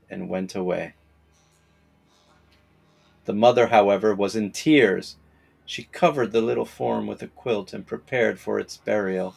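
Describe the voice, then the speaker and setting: A man reading an old folktale as scripted narration, in a measured, dramatic storytelling manner. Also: lowish voice, gravelly, slightly rough.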